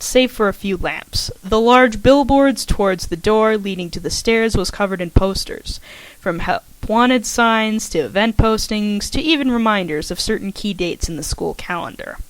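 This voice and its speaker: A woman reads a fan-written story in a steady, lowered narration voice, recorded on a home microphone. Her pitch sits mid-range for a woman, and the sound is dry with no trace of the room. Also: dry recording, rough recording. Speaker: fanfiction reader. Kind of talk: amateur audiobook narration.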